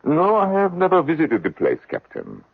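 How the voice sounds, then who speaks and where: An actor playing a proud, wry, defiant French general with a gravelly, dry delivery in a radio drama. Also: male voice, fairly high pitch, wildly swooping pitch, normal speed, no room sound, heavily acted. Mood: wry defiance. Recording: muffled old radio broadcast.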